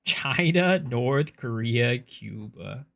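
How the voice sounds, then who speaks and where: Lively man chatting on stream. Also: mid-range voice, drawn-out words, gravelly. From United States. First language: Cantonese. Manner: rambling, reads chat aloud, swears casually. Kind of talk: casual live-stream chat while gaming.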